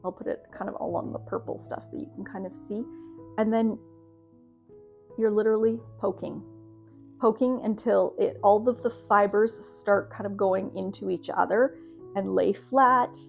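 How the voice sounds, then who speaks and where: A woman's voice: mid-range voice, fairly even intonation, moderate pace, vocal fry, darkish tone, faint music behind. A woman in her forties chatting casually to the camera from her home craft studio, with lots of ums and restarts. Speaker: craft vlogger.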